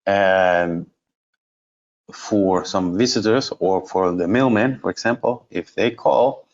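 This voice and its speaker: Slow-paced man, dull lo-fi webinar sound. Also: sits low. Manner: halting, step-by-step, thinking aloud while navigating. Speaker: trainer presenting a product course. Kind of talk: software demonstration, unscripted explanation.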